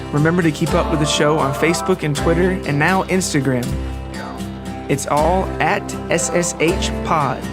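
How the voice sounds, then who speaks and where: A man hosting and narrating his own scripted storytelling podcast. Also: a little high. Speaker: podcast writer, narrator and host. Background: guitar music and rain.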